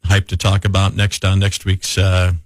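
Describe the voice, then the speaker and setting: A man's voice: deep voice, monotone, normal speed, no room sound, studio quality. A man with a Midwestern accent, co-hosting a radio show in laid-back, plainspoken chat. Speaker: radio co-host. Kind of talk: casual radio banter.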